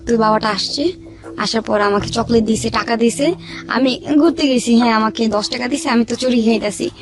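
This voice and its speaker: A woman's voice: fairly high pitch, a little fast. A young woman tells a personal life story, repeating phrases as she goes. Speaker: guest telling her life story. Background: background music.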